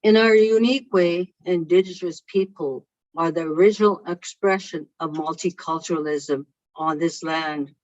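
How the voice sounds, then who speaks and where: A keynote speaker for whom English is a second language, reading a prepared speech in a slow, deliberate way over a video call. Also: medium pitch, a little mellow, no room sound. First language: not English. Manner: slow, measured and deliberate delivery. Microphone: video call microphone. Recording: dull lo-fi online call audio.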